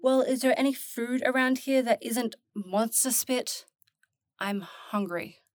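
Woman playing a flippant young woman, slow and high. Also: no echo, clean studio sound. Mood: grumpy. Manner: sarcastic, casual, slangy. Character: flippant, grumpy young woman newly dead, blunt and curious. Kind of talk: fantasy audio drama acting.

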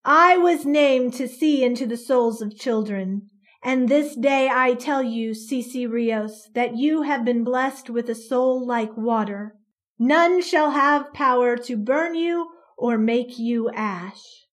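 An ancient female creature of stone and bone speaks with booming, solemn command, voiced by a woman. The voice sits high in pitch. She sounds otherworldly and grave. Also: slow.

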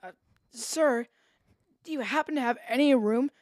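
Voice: mid-range voice, normal speed, darkish tone, no echo, studio quality, natural voice. A young-sounding performer playing a desperate, polite husband in a children's Bible drama, pleading respectfully. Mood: polite, anxious. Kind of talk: children's radio-play style Bible dramatization.

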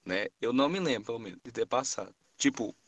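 A seventeen-year-old young man, hosting a casual podcast chat and telling rambling, animated stories full of slang. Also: mid-range voice, fast talker, crowd noise. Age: teens. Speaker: podcast host.

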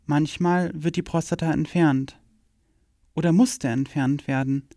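A woman's voice: deep voice, fairly even intonation, a little slow, warm tone, no room sound, clean studio sound. A woman reading a scripted educational radio play in a frank, matter-of-fact way. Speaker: performer in an audio play.

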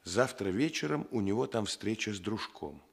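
An older, plain-spoken detective in a radio drama. His voice is on the low side, homely and blunt, with a fatherly, conspiratorial way of talking. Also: expressive, normal speed, creaky. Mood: conspiratorial, instructive. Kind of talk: radio play acting.

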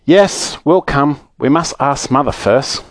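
A man's voice: medium pitch, slow, no echo, studio quality, close to an everyday voice. A man voicing a plucky, bossy young boy in a children's storybook, calling out eagerly and urgently.

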